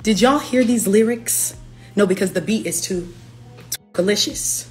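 A young woman, a singer-songwriter, chatting playfully on a livestream from her living room, her voice raspy from allergies. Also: mid-range voice, normal speed, darkish tone, faint music behind. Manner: chatty, playful, breaks into lyrics. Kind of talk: casual livestream chat. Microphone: phone camera at arm's length.

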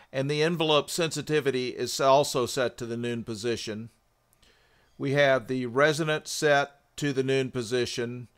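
A man's voice: mid-range voice, a bit bright, smooth. A man presenting a tutorial in methodical, scripted explanatory narration. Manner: methodical, instructional.

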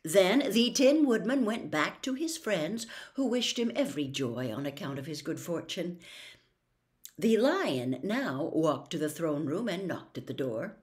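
An older woman reading a classic novel aloud at home, expressive and animated. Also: on the low side, measured pace. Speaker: storyteller. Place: home room with bookshelves. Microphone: webcam close up.

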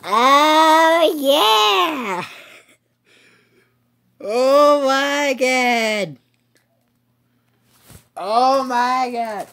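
A teenage boy who breathed helium, yelling and giggling in a squeaky high voice over a shooter game. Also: expressive, drawn-out words, creaky, long pauses, exaggerated voice. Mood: excited. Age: teens. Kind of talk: gaming commentary.

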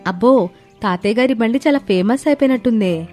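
A woman voicing a kind, outspoken young female office worker in an animated moral story, speaking earnestly. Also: on the high side, fairly quick, lightly acted. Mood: assertive. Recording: background music under the voice.

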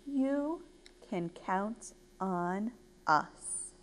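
A woman's voice: on the high side, big pitch swings, a little slow, a little mellow, heavily acted. A woman voicing a picky, dry-witted talking sheep in a children's storybook, in a matter-of-fact, judging manner. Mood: appreciated, reassuring.

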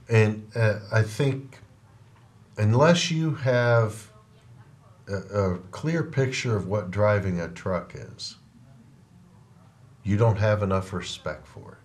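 A man's voice: lowish voice, a little mellow. A middle-aged man hosting a trucking podcast in a studio, speaking plainly from a trucker's point of view. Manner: plainspoken, trucker's perspective, frequent rhetorical "right?". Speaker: podcast host and trucking company owner. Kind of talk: casual interview chat. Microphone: over-ear headphones with desk mic.

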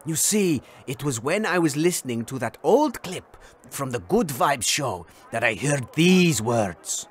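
An actor playing a grandiose crackpot treasure hunter who draws out every revelation in a comedy audio drama. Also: male voice, medium pitch, very animated, slight background noise. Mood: grandly mysterious. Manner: grandiose, drawn-out suspenseful delivery. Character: theatrical male crackpot treasure hunter revealing secrets.

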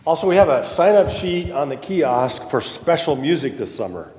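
A man leading church announcements and giving the blessing in a relaxed, friendly way. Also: fairly high pitch, normal speed, echoey room, in a large hall, slight background noise. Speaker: pastor. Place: church sanctuary.

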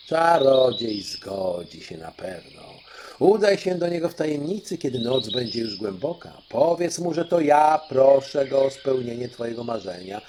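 A man voicing a composed fairy queen in an audiobook, with a measured, deliberate and theatrical delivery. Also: mid-range voice, background noise. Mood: instructing. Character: fairy queen granting royal children's dreams, female, composed. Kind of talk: audiobook storytelling.

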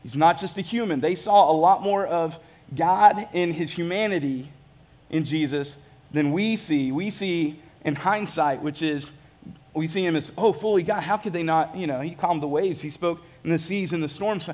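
Man, 30s, pastor on stage, casual self-mocking preaching, a touch high-pitched. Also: moderate pace, darkish tone. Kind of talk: sermon. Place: large church stage.

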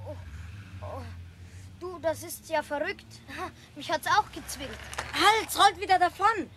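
A bold, curious boy with a high, bright voice, bantering quickly and cheekily. An old children's radio-play recording with faint tape noise. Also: exaggerated voice.

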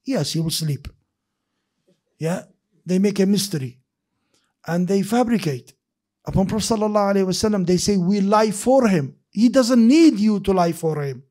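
Middle-aged man teaching in a mosque. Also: high voice. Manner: animated, gestures with his hands while explaining; repeats and restarts words, mixes in Arabic phrases. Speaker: hadith teacher. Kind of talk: classroom lecture. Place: mosque lecture room, seated at a desk. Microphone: desk microphone on a stand.

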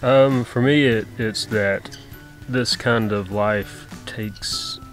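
A man in his thirties speaking slowly and thoughtfully outdoors on his homestead, often repeating words. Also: on the low side, faint music behind. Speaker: homestead vlogger. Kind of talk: casual chat.

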